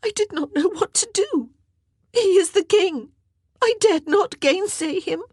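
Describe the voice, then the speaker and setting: A woman voicing a distraught young maid of honor in an audiobook, tearful, ashamed and halting, gasping through sobs. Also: very high-pitched, lively intonation, darkish tone, dry recording, clean studio sound, voice pushed up. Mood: sobbing, distressed. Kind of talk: audiobook character acting.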